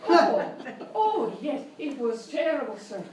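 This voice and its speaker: A woman's voice: high-pitched, sing-song, slow, darkish tone, full character voice. A woman playing a horrified landlady in a live comedy sketch, melodramatic and gasping. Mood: horrified, tearful. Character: horrified, dim landlady, overwrought and shrill. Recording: distant, echoey live stage recording.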